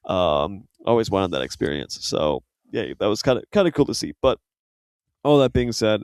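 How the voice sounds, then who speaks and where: Co-host, man, smooth clear voice, upbeat friendly banter, dry close mic. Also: lowish voice, very animated, normal speed, darkish tone, no room sound. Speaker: podcast co-host. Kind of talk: casual soccer podcast chat.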